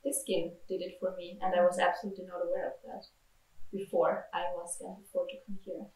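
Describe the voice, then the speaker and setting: A woman's voice: on the low side, level tone, a little fast, darkish tone. A woman, speaking hesitantly with many fillers as she searches for words in a candid interview. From Austria. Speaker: retreat participant. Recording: roomy, distant mic.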